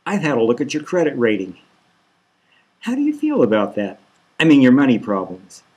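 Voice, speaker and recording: A man's voice: medium pitch, huge pitch swings, normal speed, a little mellow, husky. A man reading a satirical novel as a smug male therapist, falsely soothing, patronising and insistent. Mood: probing.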